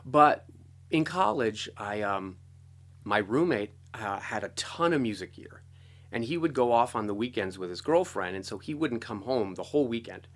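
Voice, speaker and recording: A middle-aged American man telling his story casually as an interview guest, talkative and animated. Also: on the low side, normal speed. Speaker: interview guest, composer and guitarist.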